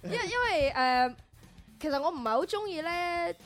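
A woman's voice: very high voice, expressive, normal speed, loud music behind the voice. A woman chatting on a radio show about a drama she made, emphatic and happy to tease herself.

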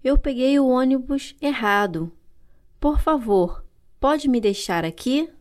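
A woman with a Brazilian Portuguese accent reading vocabulary and dialogue lines for a language course, clear and carefully articulated. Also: high voice, animated, takes it slowly. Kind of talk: slow scripted language-lesson reading.

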